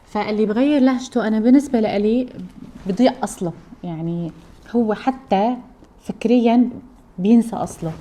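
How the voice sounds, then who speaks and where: A woman's voice: fairly high pitch, brisk, warm tone. A woman from Aleppo with a Syrian accent, a mother chatting casually and explaining things at length to her viewers. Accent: Aleppo Syrian Arabic. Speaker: mother and cooking vlogger.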